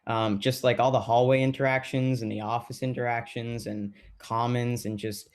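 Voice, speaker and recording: A man playing a thoughtful, lonely teacher in a documentary play, speaking casually and haltingly with many fillers. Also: medium pitch, flat delivery, natural voice. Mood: wistful, reflective. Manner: hesitant, filler-heavy, halting. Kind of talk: casual documentary theater monologue.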